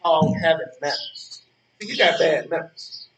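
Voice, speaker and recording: An actor playing an indignant, preachy old man in a stage play, halting and repeating words as he moralizes. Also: fairly high pitch, animated, a bit bright, dull audio. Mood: lecturing. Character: preachy, indignant old man, lonely park-bench regular. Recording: echoey, distant-sounding, noisy ambience with birdsong.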